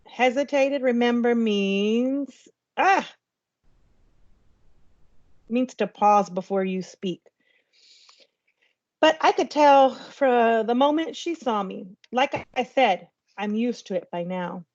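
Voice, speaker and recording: Lady teacher reading a kids book out loud, kinda high voice, muffled lofi sound. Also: slow pace, pauses often. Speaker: teacher reading aloud to class. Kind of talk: storybook read-aloud narration.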